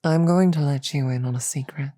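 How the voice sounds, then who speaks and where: A woman voicing a regal, teasing, magnanimous demon queen in a roleplay audio drama, with a deliberate, amused drawl. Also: low-pitched, dry recording. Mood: warm, magnanimous. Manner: slow, deliberate, amused drawl with soft chuckles.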